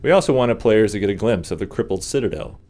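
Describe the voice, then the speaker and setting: A man's voice: on the low side, animated, quick pace, darkish tone. A man reading scripted developer commentary on a video game.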